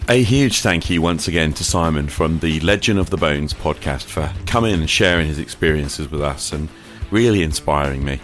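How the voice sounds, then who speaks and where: A man's voice: rumbling low, expressive, normal speed, a bit bright, faint music behind. A man hosting a podcast, asking short questions and closing the show with brief, encouraging words. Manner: brief, encouraging listener backchannel. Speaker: podcast host. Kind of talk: casual interview and show outro.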